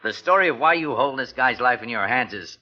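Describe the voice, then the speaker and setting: A man's voice: mid-range voice, lively intonation, brisk, close to an everyday voice. An actor playing a sly, mocking newspaper reporter who relishes his scoop, in 1940s radio drama style. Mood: knowing. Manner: mocking, insinuating, chuckling. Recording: dull, lo-fi vintage radio recording.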